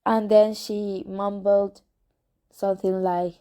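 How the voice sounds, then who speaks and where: A young woman whose first language is Spanish, reading a novel aloud in English with a Spanish accent and stopping for casual asides, unhurried and correcting herself as she goes. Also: medium pitch, flat delivery, mellow. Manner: unhurried, hesitant, self-correcting. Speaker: amateur reader of a novel.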